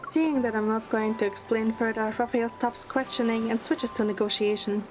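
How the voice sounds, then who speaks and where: A woman's voice: on the high side, steady tone, moderate pace, natural voice, low-quality recording. A woman playing a playful, teasing young heroine who narrates her story in an audio drama, in the first person. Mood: gentle narration. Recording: music under the voice. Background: background music.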